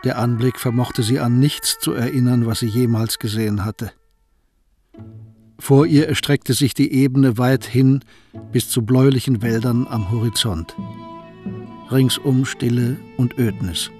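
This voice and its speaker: A man narrating a historical radio play in a calm, measured storytelling manner. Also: mid-range voice, soft background music.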